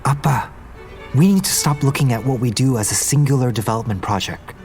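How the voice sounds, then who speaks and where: A man's voice: medium pitch, a little fast, darkish tone, soft background music, close to an everyday voice. An actor playing an idealistic young male business heir in an audio drama, earnest and conversational. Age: young adult.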